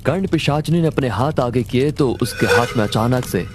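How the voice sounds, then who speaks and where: Male horror story narrator over loud music. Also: medium pitch, expressive, moderate pace. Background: loud background music.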